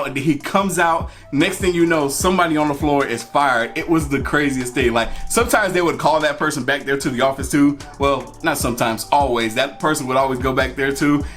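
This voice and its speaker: A man's voice: fairly high pitch, fairly bright tone. A man telling a personal story in a casual, conversational way, repeating phrases for emphasis. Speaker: storytime vlogger. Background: music.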